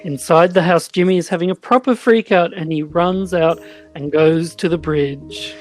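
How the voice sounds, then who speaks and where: A woman's voice: lowish voice, normal speed, a little mellow, faint music behind. A woman narrating a comic radio play.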